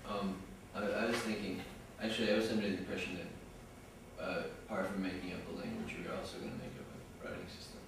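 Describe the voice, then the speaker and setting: A man's voice: sits low, steady tone, quick pace. A male student speaking hesitantly in a class discussion, with many fillers. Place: lecture classroom. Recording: echoey classroom from far off, noisy.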